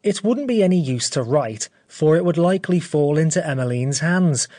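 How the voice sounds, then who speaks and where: Woman doing a nervous lovesick guy, earnest, worried, lower voice. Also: expressive, normal speed, warm tone. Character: nervous lovesick middle-aged man.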